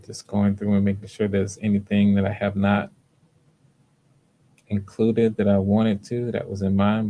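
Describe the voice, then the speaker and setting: Low-pitched, low-key man hosting auditions. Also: a little mellow. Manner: low-key, hesitant, repeats words. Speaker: host and casting director. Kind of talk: casual livestream talk about auditions.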